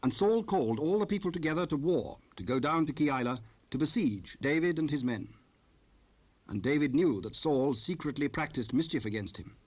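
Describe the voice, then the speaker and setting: A man narrating a dramatized scripture recording in a formal, measured storytelling manner. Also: fairly high pitch, expressive, a little mellow.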